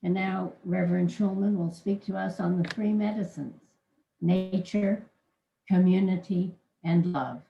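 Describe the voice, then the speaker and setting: Slow, low-voiced woman reading aloud. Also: vocal fry, dark tone, roomy sound, lo-fi sound.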